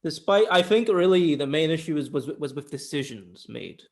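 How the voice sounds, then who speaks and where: A young man with a voice pitched a little above average discusses films casually as a co-host. He is opinionated and often doubles back on his words to stress a point. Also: lively intonation, moderate pace, darkish tone. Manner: opinionated, emphatic repetition. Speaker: film review podcast co-host.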